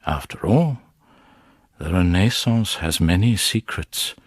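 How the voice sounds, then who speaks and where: An actor playing the scheming, self-satisfied father of a new prince in a gothic audio drama, speaking in a theatrical, measured way. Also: low-pitched, animated, husky, studio quality, full character voice. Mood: smug, secretive. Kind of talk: gothic radio drama acting. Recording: roomy sound with faint music behind.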